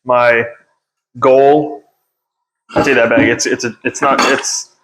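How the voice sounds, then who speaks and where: A man's voice: mid-range voice, steady tone, takes it slowly. Young male podcast host, unscripted and self-deprecating, filler-heavy delivery, recorded on a phone at home with slight background noise. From United States. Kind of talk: unscripted casual monologue. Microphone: phone on a stand.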